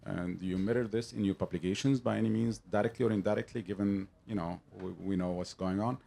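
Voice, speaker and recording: Deep gravelly man, halting questions. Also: steady tone, dark tone. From Egypt. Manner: halting, many uh fillers. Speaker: audience member.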